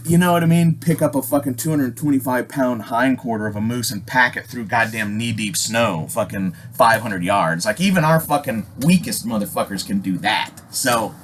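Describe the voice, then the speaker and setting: A man's voice: medium pitch, moderate pace, few pauses, a little hiss or hum. An American man telling a personal story casually, rambling and emphatic, swearing heavily with abrupt stops and repeats. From Alaska, United States.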